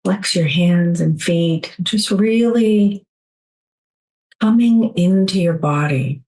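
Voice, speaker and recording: Slow, low-voiced woman guiding meditation. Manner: soothing, unhurried, gentle instruction with long pauses. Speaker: meditation guide. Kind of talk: guided meditation.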